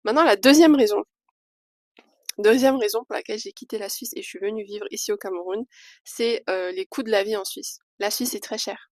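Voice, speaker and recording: A woman's voice: medium pitch, a little fast, darkish tone, no room sound, studio quality. A young woman from Switzerland chatting candidly to camera in a casual vlog, with hesitant fillers between thoughts. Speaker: vlogger.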